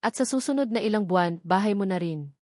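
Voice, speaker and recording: A woman's voice: medium pitch, animated, fast, darkish tone, no room sound, lightly acted. Woman narrator as rugged Filipino ex-driver in romance audiobook, cold, curt male role, dry recording. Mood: annoyed.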